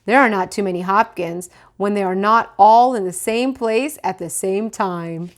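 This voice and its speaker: A woman's voice: mid-range voice, moderate pace, close to an everyday voice. Woman as emphatic storybook daddy rabbit. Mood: agreeable. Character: father rabbit, practical, firm head of family.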